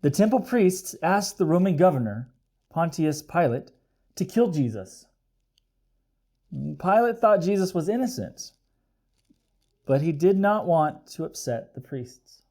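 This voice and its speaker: A man reading a storybook aloud for children in an explanatory way, pausing to question his young listeners. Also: a little high, very animated, dark tone. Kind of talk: expressive children's read-aloud with asides.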